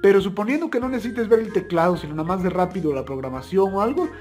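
High-voiced man, chatty vlogger. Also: lively intonation, fast, a little mellow, soft background music. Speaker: video host. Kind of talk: casual product-test vlog. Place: plain indoor room, also outdoors at night.

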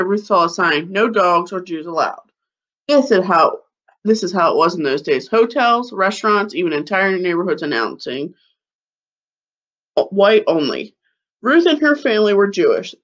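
A woman in her thirties reading a children's picture book aloud like a schoolteacher, with deliberate phrasing. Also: medium pitch, lo-fi sound. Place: living room at home, seated on a couch. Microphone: webcam or laptop mic at about arm's length.

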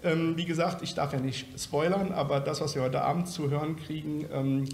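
Higher-voiced male interviewer in echoey room. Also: steady tone, brisk, gravelly, darkish tone. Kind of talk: casual interview. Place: indoor hallway at a convention. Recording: echoey room, faint background noise.

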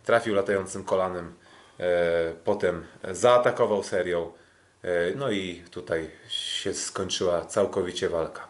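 A Polish man with a fairly low voice talks as a podcast co-host. He recaps fights casually, hesitating often, stretching his fillers and restarting phrases as he goes. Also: steady tone, a little slow. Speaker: podcast co-host, kickboxing commentator.

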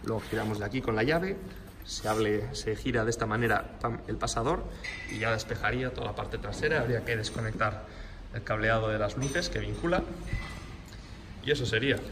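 A man's voice: on the low side, a little fast. A man walking around a motorcycle in an indoor workshop, explaining it to the camera filmed on a handheld phone. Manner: explanatory, shifts to raised voice over a running engine. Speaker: motorcycle workshop owner showing a bike for sale. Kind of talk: hands-on walkaround video. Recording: noisy room with engine sound.

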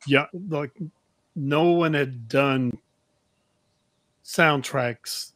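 A middle-aged man chatting casually as a podcast co-host, often pausing mid-thought, on a close mic in a room. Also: mid-range voice, fairly bright tone, dry recording. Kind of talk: casual chat. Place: indoor room, on a video call.

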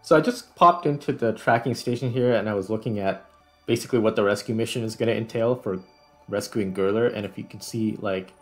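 A man with a fairly low voice hosts a gaming session in casual commentary. Faint music plays behind his talk. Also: fairly quick. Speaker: host, gamer. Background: background music.

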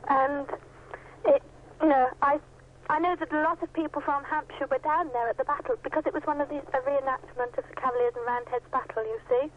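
A woman's voice: sits high, fairly even intonation, fairly quick. A woman chatting casually as a caller to a radio programme. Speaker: phone-in caller. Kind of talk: casual chat. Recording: thin phone line with noise.

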